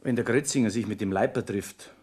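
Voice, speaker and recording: An actor playing an evasive, scheming married lover with a Bavarian accent, cautious and matter-of-fact, in 1960s radio drama. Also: male voice, on the low side, a little fast, darkish tone, lightly acted. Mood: conspiratorial. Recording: noisy 1960s radio play recording.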